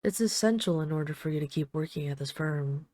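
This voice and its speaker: Low, mellow flirtatious vampire lady played by a woman. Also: dry recording, clean studio sound, lightly acted. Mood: probing, matter-of-fact. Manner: teasing, coaxing, deliberate with lingering pauses. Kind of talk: intimate audio roleplay addressed to the listener.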